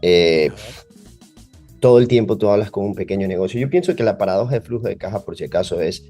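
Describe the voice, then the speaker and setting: A man with a low, dark, mellow voice and an Ecuadorian Spanish accent. He explains things at length and fills the gaps with many hesitations. Faint music plays behind him. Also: fast talker. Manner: explanatory, uses many fillers. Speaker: guest. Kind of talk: podcast interview.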